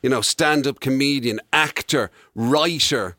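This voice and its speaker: A man's voice: medium pitch, a little slow, bright, forceful tone, no room sound, studio quality. An Irish man co-hosting a radio show, bantering casually in a teasing, jokey way. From Ireland.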